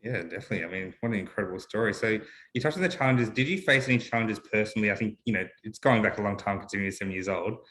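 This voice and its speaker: A man who grew up in Australia, hosting a casual panel chat and asking relaxed questions. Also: fairly low pitch, very fast, a little hiss or hum, low-quality recording. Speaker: host.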